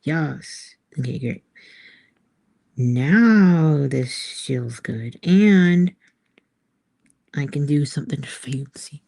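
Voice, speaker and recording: Slow, creaky-voiced woman thinking aloud. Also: deep voice, expressive, mellow. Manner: musing, hesitant, trailing off between phrases. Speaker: artist and author, host. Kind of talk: casual thinking aloud while drawing.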